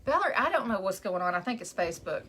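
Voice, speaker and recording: A woman's voice: mid-range voice, sing-song, quick pace, bright, forceful tone, slight background noise. A woman with a West Texas accent hosting a live crafting stream from home, chatting casually and greeting viewers by name as she works. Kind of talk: casual chat while crafting.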